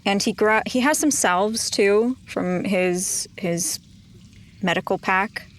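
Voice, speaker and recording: A woman's voice: mid-range voice, slow. A woman playing a tabletop roleplaying game, moving between in-character dialogue and casual table talk.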